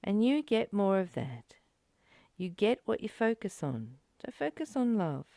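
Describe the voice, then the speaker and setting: A woman speaks slowly as a spiritual teacher, in a voice that often drops into creak. Her pitch rises and falls widely as she reads and reflects, earnest and emphatic in how she puts each point. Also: sing-song, mellow, no echo, studio quality. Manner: earnest, emphatic, with rhetorical questions and repeated phrases. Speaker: spiritual teacher leading a study of a course text. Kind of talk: spiritual teaching, reading aloud with reflective commentary.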